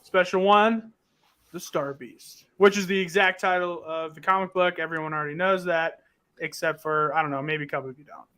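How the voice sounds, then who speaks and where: Excitable high-voiced man hosting a chat. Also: fairly quick, clear, resonant tone. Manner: excitable, swears casually. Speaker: podcast host. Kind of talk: casual group chat.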